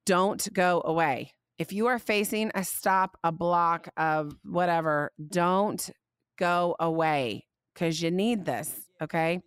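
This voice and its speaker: A woman in her forties hosting a casual faith podcast, talking in a chatty, animated way, her voice a little scratchy from a recent illness. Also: on the low side, takes it slowly, smooth, no room sound, studio quality. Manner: chatty, warm-hearted, animated storytelling. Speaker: podcast host.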